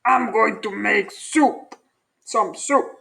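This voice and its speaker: A woman voicing a scruffy, nasty-tempered male varmint, gruff and bossy, in a children's storybook. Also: a little high, slow, a bit bright, full character voice. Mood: unkind, sarcastic.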